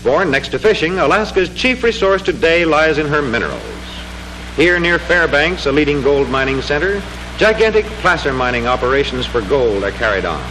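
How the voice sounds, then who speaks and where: A man narrating a 1940s educational film in a formal, measured, declamatory manner, reading from a script. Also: fairly high pitch, background noise. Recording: 1940s film soundtrack with music. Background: music.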